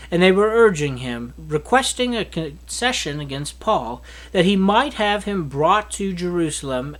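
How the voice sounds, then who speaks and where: A man in his thirties reading scripture aloud in a solemn, declamatory way with deliberate phrasing. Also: on the high side. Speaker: Bible reader. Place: small indoor study with bookshelf. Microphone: microphone on a stand at a lectern.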